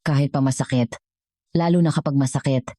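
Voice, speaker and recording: A woman with a Filipino accent voicing a humble, gentle mother, polite and heartfelt in storybook dialogue. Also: extremely low pitch, steady tone, fairly quick, dry recording, studio quality. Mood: thoughtful. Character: humble, gentle poor mother, wise about love.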